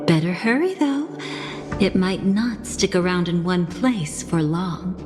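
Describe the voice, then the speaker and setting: A woman playing a calm, knowing, mysterious young woman in a video game, speaking in a measured, cryptic way. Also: lowish voice. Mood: gentle, composed. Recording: long reverb, game music behind.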